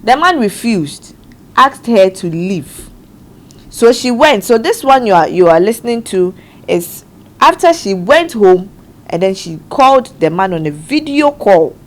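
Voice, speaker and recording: A woman's voice: mid-range voice, very animated. A woman who hosts a studio panel talk show and walks the panel through events step by step. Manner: measured, explaining step by step.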